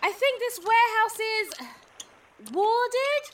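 A woman's voice: very high voice, slow, far from the mic, slight background noise. An actress playing a flighty, free-spirited young woman in a fantasy audio drama, with an airy, prim way of speaking. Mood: curious, uncertain.

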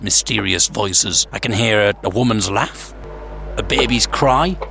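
A man hosting a podcast, narrating a scripted story with theatrical suspense, pauses and wry humour. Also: lowish voice, bright, forceful tone. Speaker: podcast host. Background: music.

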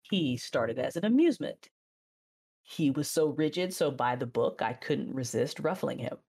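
Very deep female voice, seductive dark-side warrior, husky, proud, knowing, expressive, audio drama narration. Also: moderate pace, exaggerated voice. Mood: teasing, seductive. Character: seductive, proud, sly Sith woman narrating her own tale. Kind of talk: audio drama first-person narration and dialogue.

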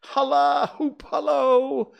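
A man's voice: on the high side, expressive, moderate pace, highs cut off, pitched above the performer's own voice. A man voicing an old man reliving his childhood in a storybook reading, exclamatory, stammering and sentimental. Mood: excited.

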